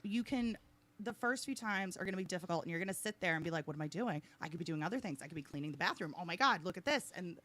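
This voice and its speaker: A woman's voice: fairly low pitch, lively intonation, fast talker, a bit bright. A woman in her thirties hosting a podcast, talking bluntly and teasingly in casual chat, with frequent swearing. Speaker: podcast host.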